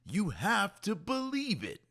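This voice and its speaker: Storybook best friend, acted by a male narrator. Encouraging coach, emphatic delivery, raised lively pitch. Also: slow pace, exaggerated voice. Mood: urging. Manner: emphatic, urging.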